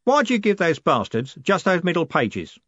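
Brief: male reader voicing a bold showman coachman, raised pitch, eager, dull dry audio. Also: big pitch swings, moderate pace, fairly bright tone, no room sound. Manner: eager, boastful.

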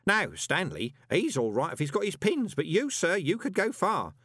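A man's voice: on the high side, very animated, normal speed, fairly bright tone, no echo, clean studio sound, voice pushed up. A man voicing an earnest, sentimental old postal worker who speaks deferentially and wearily in an audiobook. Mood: kindly pleading. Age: older. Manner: deferential, calls people sir.

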